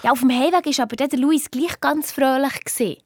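High-voiced excitable Swiss German girl played by a woman. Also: a little fast, dry recording, clean studio sound, lightly acted. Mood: matter-of-fact. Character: excitable, anxious young girl detective retelling her adventure. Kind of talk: first-person children's radio play narration. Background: music.

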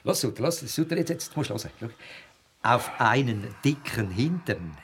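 An actor playing a chatty, sarcastic office colleague with a Swiss accent, jovial and rambling, in a radio drama. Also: male voice, mid-range voice, very animated, normal speed, fairly bright tone, a little hiss or hum. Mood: conspiratorial, sarcastic. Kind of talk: radio drama acting in casual chat.

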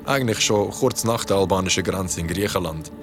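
Grumpy young man, Swiss accent, low pitch, audio drama, music behind. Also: a little fast. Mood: reluctant, narrating. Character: young man, grumpy, reluctant storyteller among friends. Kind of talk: naturalistic audio drama acting.

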